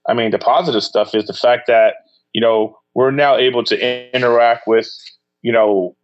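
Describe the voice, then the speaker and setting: A man chatting on a podcast as a guest, jokey and animated, often trailing off and restarting. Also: mid-range voice, normal speed. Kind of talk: casual podcast chat. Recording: dull lo-fi sound.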